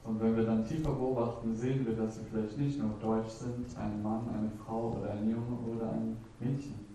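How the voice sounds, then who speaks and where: Hesitant interpreter, echoey and distant. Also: male voice, lowish voice, flat delivery, moderate pace, warm tone, a little hiss or hum. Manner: slightly hesitant, with filler sounds. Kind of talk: live consecutive interpreting.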